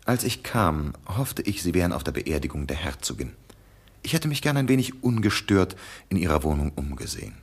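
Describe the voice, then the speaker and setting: A man's voice: low-pitched, very animated, brisk, husky, full character voice. An actor playing a confident detective in a radio drama who accuses his opponent in a dry, defiant way. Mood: accusing. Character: sharp-witted male detective, confident.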